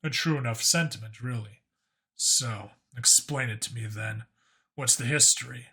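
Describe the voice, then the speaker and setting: A man's voice: medium pitch, moderate pace, bright, forceful tone, husky. A man voicing a wry, skeptical human cop who talks in a dry, casual way in an audiobook story. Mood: dry, probing.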